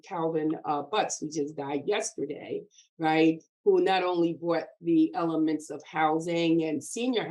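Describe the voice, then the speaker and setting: Low-voiced middle-aged woman, measured talk. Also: darkish tone, poor audio. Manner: measured, with many filler words. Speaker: podcast guest, community development leader. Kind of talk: reflective interview talk.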